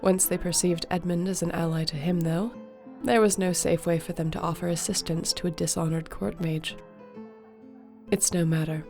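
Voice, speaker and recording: A court sorceress speaking gently to a companion, performed by a woman. Her voice is lowish and mellow, with soft music playing underneath. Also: steady tone, normal speed. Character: warm, loyal female court sorceress. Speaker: audio roleplay narrator. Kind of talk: scripted audio roleplay.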